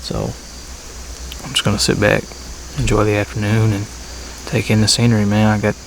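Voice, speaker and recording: A man talking casually to camera as a bowhunting vlogger, in a laid-back, halting way, outdoors. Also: fairly low pitch, level tone, creaky, rough recording. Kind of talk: casual vlog talk to camera. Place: outdoors in a tree saddle at a pasture. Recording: outdoor ambience with faint insect noise. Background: insects and crickets.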